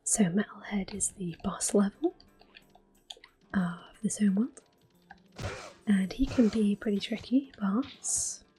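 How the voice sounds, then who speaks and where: Soft-spoken woman whispering through a game up close on the mic, faint game music under her. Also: mid-range voice, slow pace, long pauses, rough recording. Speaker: ASMR gaming host. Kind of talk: whispered ASMR gameplay commentary. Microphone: close ASMR microphone.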